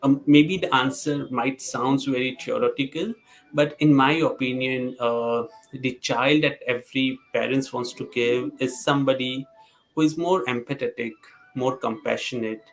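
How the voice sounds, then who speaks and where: A man's voice: mid-range voice, fairly even intonation, moderate pace, faint music behind, poor audio. Hesitant man speaking earnestly. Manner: hesitant, searching for words. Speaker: parent panelist. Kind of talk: earnest podcast discussion.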